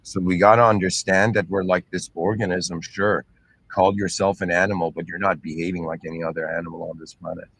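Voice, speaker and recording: A man in his thirties giving a reflective, rambling philosophical monologue, full of fillers and restarts, often clearing his throat and speaking straight to his listeners. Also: sits low, steady tone, brisk, a bit bright, slight background noise, low-quality recording. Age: 30s. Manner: earnest, addresses listeners directly. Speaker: channel host.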